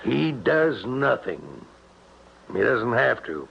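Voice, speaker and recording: An actor playing a worried, folksy elderly small-town father in an old-time radio drama, with a creaky, rambling, homespun way of talking. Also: mid-range voice, big pitch swings, slow pace, heavily acted. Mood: folksy, fretting. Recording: noisy, muffled 1970s radio broadcast.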